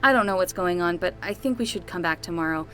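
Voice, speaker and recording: A woman playing a young, excitable summer nanny with a casual, rambling way of talking in a fiction podcast. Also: on the low side, quick pace, soft background music. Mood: puzzled. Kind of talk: scripted fiction podcast acting.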